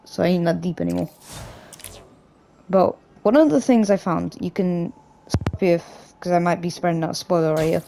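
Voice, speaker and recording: Croaky young man chatting over gameplay. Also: high voice, normal speed, mellow, a little hiss or hum, rough recording. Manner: rambling, loose, with many restarts and "like". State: hoarse, croaky from a cough. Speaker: gaming YouTuber. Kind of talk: casual gameplay commentary.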